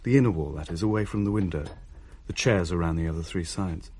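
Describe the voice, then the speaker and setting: A man's voice: deep voice, quick pace, a little mellow. An actor playing a young man who tells of things in a hesitant, descriptive way in a naturalistic radio play. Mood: reflective. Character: uneasy younger man recounting a ghost sighting.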